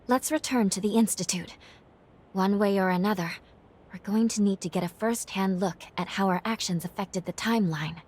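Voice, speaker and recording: Breathy, earnest young heroine played by a woman. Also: mid-range voice, moderate pace, darkish tone, close to an everyday voice. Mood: determined. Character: earnest, determined young female companion. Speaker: video game character. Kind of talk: video game cutscene dialogue.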